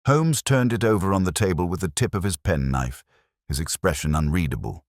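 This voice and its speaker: A man narrating a scripted dramatic story in a measured, solemn manner. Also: deep voice, expressive, no room sound, studio quality. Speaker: audiobook narrator.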